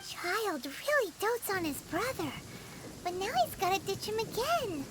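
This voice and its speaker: A tiny female fairy sidekick from an anime game. The voice is extremely high and swoops about with childlike curiosity. Clear background game noise sits behind it. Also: very animated, a little slow, exaggerated voice. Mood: thoughtful. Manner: refers to itself in the third person. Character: small chirpy childlike female fairy companion, chatty and curious. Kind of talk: anime video game character acting.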